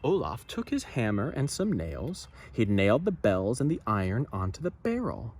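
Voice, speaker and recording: A man reading a children's story aloud expressively, with dramatic pauses and asides to listeners. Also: medium pitch, wildly swooping pitch, darkish tone. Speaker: storybook reader.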